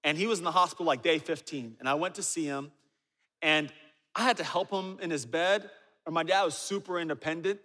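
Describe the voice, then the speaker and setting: Guy in his 40s preaching, high bright voice, echoey church hall, jokey storytelling with quiet asides. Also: brisk. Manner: storytelling with humor, dropping low and quiet for asides. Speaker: pastor. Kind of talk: sermon. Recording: reverberant hall.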